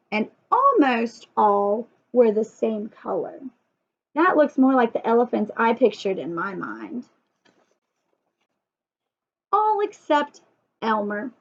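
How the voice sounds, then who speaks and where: A woman teacher leading a story time lesson for young children, asking lots of questions and emphasising key words. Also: sits high. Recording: dull lo-fi sound.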